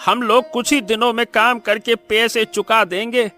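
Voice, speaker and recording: An actor playing a wheedling, scheming village brother with a coaxing, evasive manner in a cartoon story. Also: male voice, very high-pitched, steady tone, normal speed, bright, forceful tone, faint music behind.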